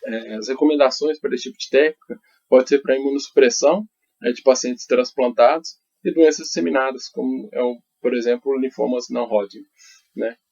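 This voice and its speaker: A man with a Brazilian accent giving an academic conference presentation as a researcher, speaking haltingly and often adding little tag questions. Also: mid-range voice, gravelly, darkish tone, slightly rough. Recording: dull, lo-fi audio.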